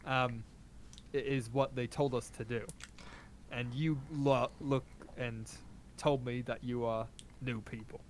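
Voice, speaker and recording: A man's voice: mid-range voice, fairly even intonation, slow, a little hiss or hum, natural voice. A man voicing a male city guard who rambles apologetically about cruel orders in a tabletop game. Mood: nonchalant.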